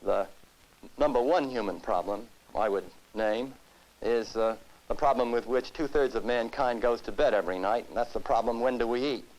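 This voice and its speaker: A man from the United States giving serious interview commentary, speaking deliberately in formal, rhetorical phrases. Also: mid-range voice, a little mellow, poor audio. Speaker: expert interviewee.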